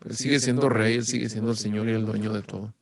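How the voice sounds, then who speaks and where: Guatemalan man, middle-aged, calm earnest guest, dry close sound. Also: lowish voice, fast talker, gravelly, no echo. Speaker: guest, music producer. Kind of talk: casual interview chat. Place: home studio.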